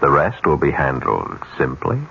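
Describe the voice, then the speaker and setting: A man's voice: very deep voice, big pitch swings, normal speed, gravelly, full character voice. A male actor playing a brash, domineering advertising executive in a 1970s radio drama, blunt and pushy. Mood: cool, calculating. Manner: blunt, pushy, clipped sarcasm. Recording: dull, faintly noisy old radio recording.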